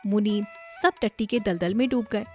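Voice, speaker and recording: A woman's voice: medium pitch, moderate pace, soft background music. A woman narrating a scripted children's story.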